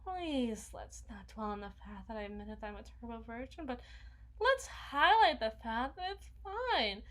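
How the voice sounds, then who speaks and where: A woman's voice: on the high side, lively intonation, normal speed, fairly bright tone. A woman playing a flustered, needy, socially awkward young vampire in an intimate ASMR roleplay, stammering and slipping into whispers. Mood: flustered, pleading. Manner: stammering, breathy asides, dropping into whispers.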